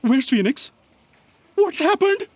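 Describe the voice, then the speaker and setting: A woman's voice: high voice, big pitch swings, slow pace, darkish tone, dry recording, full character voice. A woman playing a sensible, easily exasperated crew member in a comic sci-fi audio drama. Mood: urgent. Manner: emphatic, scolding.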